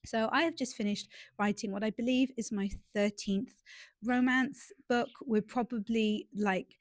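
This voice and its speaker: A woman's voice: a little high, unhurried, no room sound, studio quality. A woman with a stuffy, nasal voice from a cold, chatting casually and self-deprecatingly to camera outdoors in a garden. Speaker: romance author and YouTuber.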